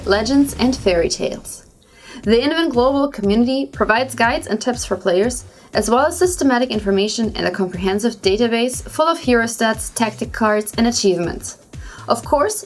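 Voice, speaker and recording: A woman with a fairly high-pitched voice reads gaming news from a script. She works as a presenter, and soft music runs behind her narration.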